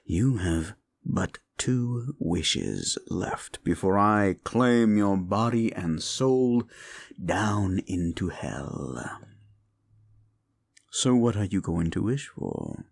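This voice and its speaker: A scornful demon, played by a man, mocks the listener in a very deep, gravelly voice. His pitch swings widely as he taunts, and the close, dry recording keeps every rasp near the ear. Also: very animated, dry recording, exaggerated voice. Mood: mocking. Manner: slow, mocking and condescending. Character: scornful male demon bound by a wish contract. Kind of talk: audio roleplay.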